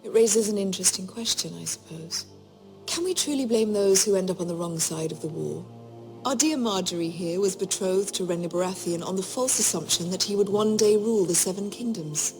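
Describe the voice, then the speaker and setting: An actress playing a haughty, menacing fantasy queen who speaks in a measured, condescending, coldly formal way. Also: mid-range voice, darkish tone, faint music behind, natural voice. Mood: probing disdain. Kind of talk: dramatic fantasy game acting.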